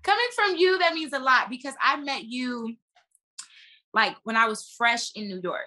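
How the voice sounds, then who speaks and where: A woman with a bright, forceful voice speaks as a guest in a casual podcast chat. She is an actress who tells things in a slangy, emphatic way, with plenty of animation. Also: high voice, moderate pace. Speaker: guest, stage and screen actress.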